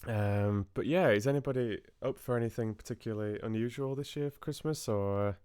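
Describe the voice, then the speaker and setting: A man's voice: medium pitch, a little fast, a little mellow, clear, resonant tone, no room sound. A man hosting a livestream, chatting casually with viewers in a friendly, hesitant way with trailing asides. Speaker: livestream host. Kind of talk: casual chat with live viewers.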